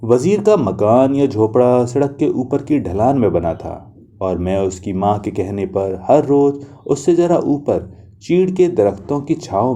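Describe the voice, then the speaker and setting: पुरुष कथावाचक, बहुत गहरी दबी हुई आवाज़. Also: male voice, fairly low pitch, mellow. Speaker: storyteller. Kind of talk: scripted literary story narration.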